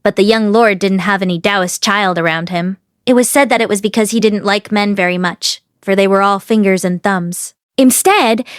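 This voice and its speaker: Female audiobook reader, higher-pitched voice, scripted novel narration, dry close sound. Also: no room sound.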